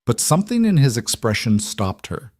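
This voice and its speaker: A man's voice: mid-range voice, big pitch swings, normal speed, darkish tone, dry recording. A man telling a story as scripted romance audiobook narration.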